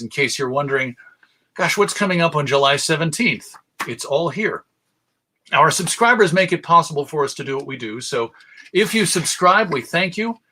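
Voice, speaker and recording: American man, higher-pitched, very bright forceful voice, conversational live lecture, wry asides. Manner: wry, jokey asides to listeners. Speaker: radio show host giving a talk.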